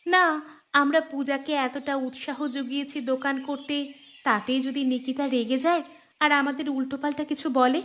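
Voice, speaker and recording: A woman playing a gentle village wife in a cartoon, talking in a homely, anxious way. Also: high-pitched, fairly even intonation, normal speed. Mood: worried. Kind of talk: Bengali cartoon dialogue acting.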